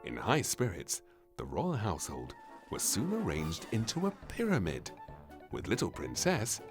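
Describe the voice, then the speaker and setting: A man narrating a children's storybook aloud in an unhurried, storytelling manner. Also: deep voice, huge pitch swings, music in the background.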